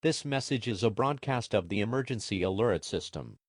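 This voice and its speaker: A man voicing an impersonal emergency alert announcer in a formal, measured, official manner. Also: lowish voice, expressive, noisy background.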